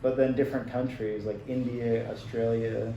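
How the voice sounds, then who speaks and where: An American man with a dark, warm tone answers interview questions in an easy, plain-spoken way, leaning on "like" as he thinks. He is heard from across an echoey room, with a faint hiss underneath. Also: mid-range voice, normal speed, dull audio. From United States. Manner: relaxed, plain-spoken, many "like" fillers. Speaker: teacher being interviewed. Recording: roomy, distant and slightly noisy.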